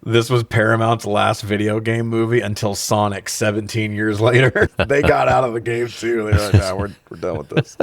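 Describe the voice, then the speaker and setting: A man with a fairly low voice chats casually as a podcast co-host reviewing films. He is joking and profane, often laughing through his words. The sound is close and dry, with very little room to it. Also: steady tone, normal speed, vocal fry, dry recording. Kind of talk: casual comedic film review chat.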